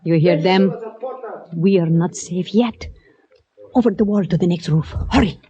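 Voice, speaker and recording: A woman's voice: fairly low pitch, measured pace, a crowd in the background, lightly acted. An actress playing a bold, commanding foreign woman on the run in a radio drama, speaking in terse, formal phrases. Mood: urgent, commanding. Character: bold, urgent foreign woman fugitive, admiring and seductive. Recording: muffled old radio recording, music behind.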